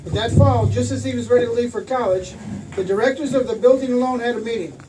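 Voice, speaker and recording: A man acting a patient celestial narrator in an old-time radio play. The voice is high and measured as it explains, heard at a distance through clear background noise. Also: dark tone. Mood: solemn. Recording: noisy stage recording from far off.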